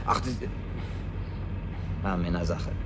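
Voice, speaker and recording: A man's voice: deep voice, slow, background noise. An actor in a radio play voicing a naive, bumbling male survivor who rambles, apologises and grumbles. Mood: hesitant, earnest.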